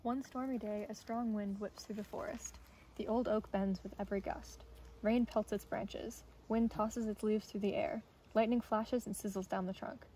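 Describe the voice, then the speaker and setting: A woman's voice: medium pitch, fairly even intonation, moderate pace, warm tone. A young woman reads a children's nature storybook aloud outdoors, recorded from a short distance away. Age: young adult. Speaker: intern reading a storybook aloud. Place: outdoors on a forest trail. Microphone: camera or phone a short distance away. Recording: clear outdoor ambient noise.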